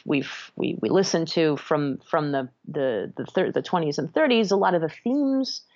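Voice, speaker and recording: A low-pitched woman raised in France speaks at a thoughtful, unhurried pace and often restarts her sentences. The sound is a little dull and muffled, like a lo-fi remote line. She comes across as a guest in a relaxed podcast interview. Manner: thoughtful, many restarts and self-corrections. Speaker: guest, jazz band leader.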